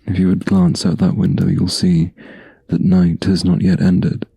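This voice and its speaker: Man acting a deep, gravelly, gentle huntsman. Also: flat delivery, no echo, exaggerated voice. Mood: grave, brooding. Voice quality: low, gravelly, breathy. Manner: slow, soothing, old-fashioned storybook phrasing. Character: gentle, protective huntsman of a dark fantasy forest. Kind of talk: ASMR roleplay, soft-spoken and intimate.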